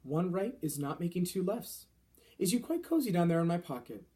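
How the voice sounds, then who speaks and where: Casting: man to play friendly storybook giant, earnest and gently indignant, quaint mangled grammar, very animated intonation, mellow tone. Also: high voice, moderate pace. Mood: wry, firm. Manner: quaint broken grammar with mangled, invented words. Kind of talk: children's storybook character voice.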